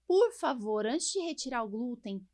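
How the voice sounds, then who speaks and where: A woman's voice: a little high, big pitch swings, normal speed, dark tone. A Brazilian woman, a doctor hosting a podcast, explaining things informally with frequent tag questions and diminutives. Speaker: podcast host, allergist doctor. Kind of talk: casual podcast conversation.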